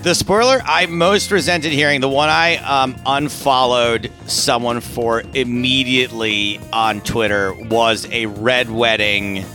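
A man's voice: medium pitch, steady tone, a little slow, hardly any pauses, pushed and bright, faint music behind. A man co-hosting a podcast, chatting casually in an informal, emphatic way. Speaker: podcast co-host. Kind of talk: casual chat.

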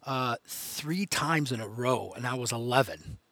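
A man's voice: mid-range voice, lively intonation, a little slow, a bit bright, no room sound. A man in his fifties from Houston, a guest chatting casually, full of fillers and laughing often. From United States, grew up in Houston. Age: 50s. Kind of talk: casual chat on a poker show.

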